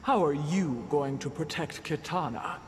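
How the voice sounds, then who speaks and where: An actor playing a gloating, menacing video game villain with a taunting, drawn-out delivery. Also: male voice, fairly high pitch, lightly acted. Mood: mocking. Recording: long reverb under loud music.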